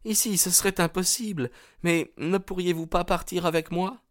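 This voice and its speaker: A man's voice: sits high, fairly even intonation, moderate pace, no echo, clean studio sound, pitched above the performer's own voice, close to an everyday voice. A man voicing an anxious young woman in love, speaking in an earnest, pleading way in an audiobook. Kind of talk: audiobook character dialogue.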